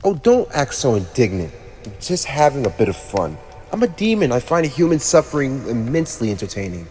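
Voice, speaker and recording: A man's voice: medium pitch, big pitch swings, darkish tone, faint music behind, lightly acted. A man voicing a haughty, teasing teenage demon lord with a playfully cruel streak, in an ASMR roleplay addressed to the listener. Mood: teasing, amused. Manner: haughty, teasing, theatrical, with drawn-out pauses. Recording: long reverb, audible background noise.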